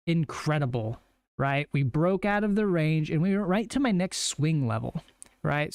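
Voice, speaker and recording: A young man with a hoarse, worn-out voice, talking casually as he walks through a trading plan on a live stream, with plenty of ums and rights. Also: on the high side, no echo, clean studio sound. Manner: laid-back, chatty, lots of ums and rights. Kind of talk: casual live market walkthrough.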